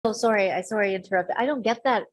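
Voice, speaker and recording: A woman's voice: mid-range voice, very fast. A woman who works with special-needs kids, a guest on a podcast, talking passionately and asking pointed questions. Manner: passionate and exasperated, with repeated questions. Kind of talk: casual conversational interview.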